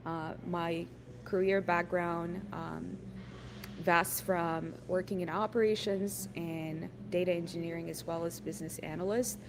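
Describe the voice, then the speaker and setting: A woman from Ethiopia with a fairly low voice leads an online workshop over a computer mic. She talks in a friendly, encouraging way and fills her sentences with ums and uhs. A steady noise runs under the call audio. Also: unhurried. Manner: frequent fillers, friendly and encouraging. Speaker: workshop presenter.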